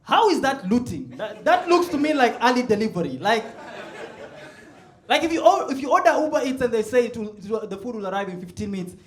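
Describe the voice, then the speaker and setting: A man's voice: extremely high pitch, very animated, fairly quick. A man from Sudan, performing a stand-up set in a comedy club and laughing at his own punchlines. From South Sudan. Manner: laid-back, chuckles at his own jokes, builds to loud punchlines. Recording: roomy live club sound.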